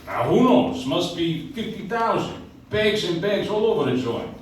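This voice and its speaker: A man's voice: high-pitched, very animated, measured pace. An actor playing an eager, chummy city mailman in a live old-time radio play, recorded from the audience in an echoey room. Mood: eager, excitable. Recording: distant audience recording in echoey cafe, noisy.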